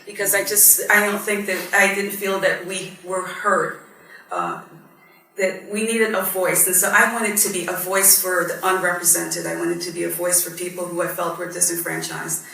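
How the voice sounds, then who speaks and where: Gravelly-voiced woman in an echoey hall. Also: fairly low pitch, steady tone, normal speed, sharp tone. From born in Guyana, raised in Barbados. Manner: earnest and reflective, with frequent ums. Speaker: judge on a panel. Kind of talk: personal story at a panel discussion. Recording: echoey hall, mic at a distance, faint noise.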